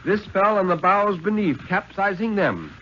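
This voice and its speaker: A man reading a thriller story aloud in a 1950s dramatic radio style, with suspenseful, measured phrasing and deliberate pauses. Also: high voice, lively intonation, fairly bright tone, a little hiss or hum. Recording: muffled, lo-fi 1950s radio recording.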